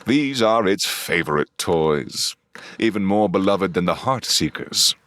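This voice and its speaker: A man performing measured, solemn dramatic narration of a space battle for a sci-fi audio drama. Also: sits low, animated, a bit bright, no room sound, studio quality. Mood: grave, measured.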